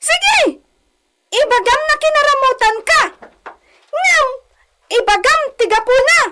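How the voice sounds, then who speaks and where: A woman's voice: very high-pitched, lively intonation, a little slow, heavy background noise. A woman voicing a spoiled, haughty young rich daughter in a radio comedy drama, whiny and demanding. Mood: angry accusing.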